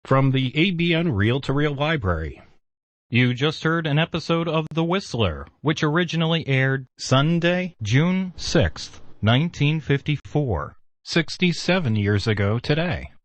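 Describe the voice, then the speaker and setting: A man's voice: fairly high pitch, lively intonation, a little slow. A man reading scripted announcements as a radio station announcer.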